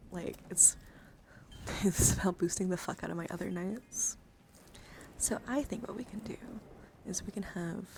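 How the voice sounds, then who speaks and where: Softly whispering woman, ASMR stream. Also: medium pitch, vocal fry, slight background noise. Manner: gentle, chatty, encouraging to viewers. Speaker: livestream host. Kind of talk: whispered ASMR, showing and reading out trading cards. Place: indoor livestream, hands-on camera.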